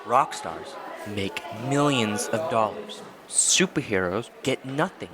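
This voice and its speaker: A man's voice: mid-range voice, lively intonation, takes it slowly. A man voicing a cynical, crude teenage boy in a comic dub, dismissive and sarcastic. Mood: scornful. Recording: noisy crowd ambience behind voice.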